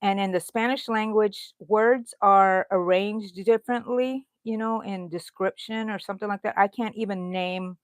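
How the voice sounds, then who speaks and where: A woman's voice: medium pitch, fairly even intonation, normal speed, few pauses, no echo. A middle-aged woman from Houston, chatting loosely with her livestream viewers while she crochets and answers their comments. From Houston, Texas. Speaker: crochet channel host.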